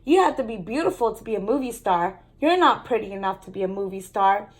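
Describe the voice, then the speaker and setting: A woman's voice: medium pitch, animated, fairly quick, close to an everyday voice. A woman voicing a bossy, dismissive older sister in a storybook, a young girl with a blunt, careless, know-it-all manner.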